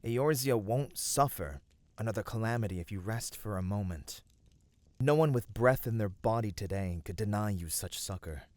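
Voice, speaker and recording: Man acting a soothing, low-voiced noble fantasy adventurer. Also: normal speed, darkish tone, no echo, close to an everyday voice. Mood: gentle concern. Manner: soothing, earnest, formal archaic phrasing. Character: gentle, noble, reassuring male fantasy adventurer comforting a weary friend. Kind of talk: ASMR fantasy roleplay, scripted intimate voice acting.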